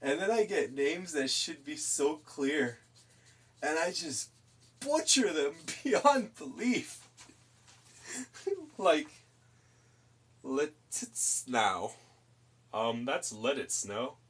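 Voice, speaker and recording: A man's voice: fairly high pitch, sing-song, drawn-out words, pauses often, fairly bright tone, slightly rough. A man in his twenties hosting a live stream, casually chatting and giggling as he reads out messages. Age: 20s. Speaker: livestream host. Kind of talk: casual chat with chat while painting.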